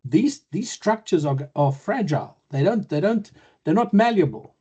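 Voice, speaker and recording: A man hosting an interview, thinking aloud in a halting way and repeating words as he goes. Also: high-pitched, very animated, warm tone, husky. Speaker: interview host. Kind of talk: conversational interview. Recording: muffled lo-fi audio.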